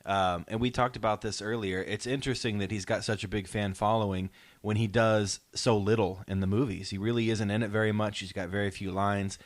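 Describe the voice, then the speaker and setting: Podcast host: man with a lowish voice, casual and explanatory, encouraging his co-hosts along. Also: steady tone, fairly quick.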